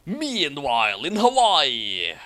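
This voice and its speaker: A man's voice: on the high side, huge pitch swings, takes it slowly, bright, forceful tone. A man reading fan fiction aloud for laughs, mock-dramatic and amused, breaking off to add his own comments.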